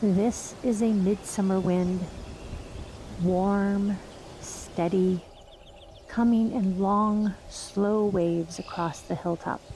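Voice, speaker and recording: Woman meditation guide, slow and soft-spoken, mellow tone with a little vocal fry, long pauses. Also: mid-range voice, fairly even intonation, a little hiss or hum.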